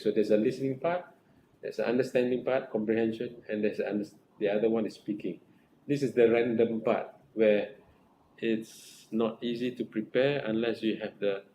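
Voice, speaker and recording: A middle-aged man with a Malaysian accent speaks as a supervisor guiding a student, his voice sitting fairly low. He talks earnestly, circling back over points and often checking in with "right?". The room sounds echoey, with a faint hiss underneath. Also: warm tone. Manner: earnest, repetitive, with frequent restarts and rhetorical "right?". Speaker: academic supervisor. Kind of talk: advising a research student in group supervision.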